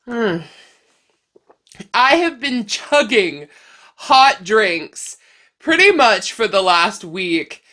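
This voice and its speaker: A woman with a bright, forward voice chats casually as a craft vlog host. She takes her time and stretches words playfully for emphasis. A head cold leaves her sounding a little stuffy. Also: medium pitch, big pitch swings, drawn-out words, pauses often. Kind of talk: casual chatty talk to camera.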